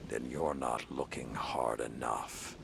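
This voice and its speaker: An actor playing a grizzled, stern, world-weary veteran warlord in a video game cutscene, with a gruff and weighty delivery. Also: male voice, steady tone, slow pace, noisy background, full character voice. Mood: angry, firm. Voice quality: deep gravelly growl.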